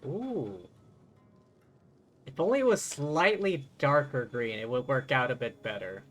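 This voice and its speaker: A man live-streaming a game, chatting casually and rambling to his viewers, with music softly behind him. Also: mid-range voice, animated, unhurried. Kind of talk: casual chat while playing a game. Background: faint music.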